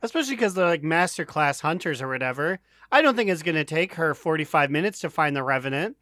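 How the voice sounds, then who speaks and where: A man's voice: on the high side, animated, fast talker, sharp tone, no room sound, studio quality. A man co-hosting a podcast, talking in a casual, joking way. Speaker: podcast host.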